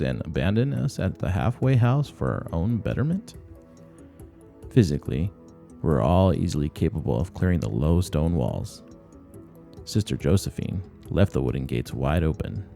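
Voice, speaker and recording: A man's voice: extremely low pitch, vocal fry, mellow. A man reading a short story aloud as scripted audiobook narration.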